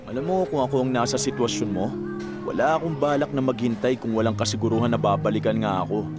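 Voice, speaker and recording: Actor as supportive young Filipino buddy, loud music. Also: male voice, medium pitch, fast, lightly acted. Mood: consoling. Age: young adult. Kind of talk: Tagalog radio drama acting.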